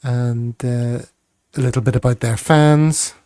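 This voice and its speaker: A man's voice: medium pitch, steady tone, a little slow, dark tone, smooth, no room sound, studio quality. A man hosting a casual football podcast, upbeat and chatty as he greets guests and reads out comments. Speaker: podcast host.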